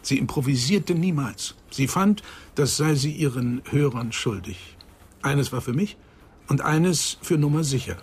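An actor playing an earnest psychoanalyst of nearly eighty in a German radio crime drama, speaking in a measured, emphatic way. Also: male voice, medium pitch, expressive, darkish tone, husky. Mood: emphatic, grave. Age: older.

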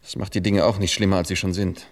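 Man, shy heartbroken gentleman, slightly low, creaky, polite, halting, restrained, German radio play. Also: level tone. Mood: earnest. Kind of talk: German radio drama acting.

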